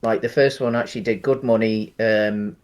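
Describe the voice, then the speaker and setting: A man's voice: fairly low pitch, fairly even intonation, moderate pace, a little mellow, clean tone. Man casually explaining his take on films. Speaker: podcast co-host. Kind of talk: casual film discussion.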